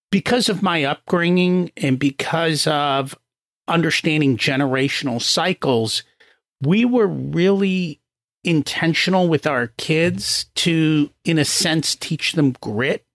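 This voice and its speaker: A middle-aged man hosting a podcast interview, telling personal stories in a loud, animated way. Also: on the high side, no echo, studio quality. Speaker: podcast host. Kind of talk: conversational interview.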